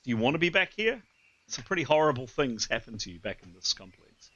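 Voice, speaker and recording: A man running a tabletop game as game master, thinking out loud and correcting himself as he goes. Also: medium pitch, very animated, a little fast, lo-fi sound. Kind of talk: casual tabletop game narration and adjudication.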